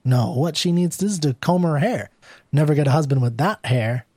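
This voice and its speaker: Man putting on a catty, high-pitched wife. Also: animated, moderate pace, mellow, husky, dry recording. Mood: disdainful. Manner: disdainful, scolding. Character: catty, judgmental wife in curling irons. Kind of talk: dramatized storybook reading.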